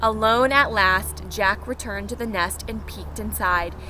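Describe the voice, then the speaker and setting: A woman's voice: mid-range voice, unhurried, sharp tone. A woman reading a picture book aloud to young children, expressively and with asides to her listeners, recorded outdoors. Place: outdoors near pet chickens. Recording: noisy outdoor recording, urban ambience.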